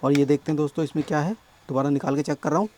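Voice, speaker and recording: A man with a sore, hoarse throat explaining a speaker repair step by step at his workbench, often addressing viewers as friends. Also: on the high side, steady tone, mellow. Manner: explains slowly, keeps calling viewers friends. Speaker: electronics repair technician.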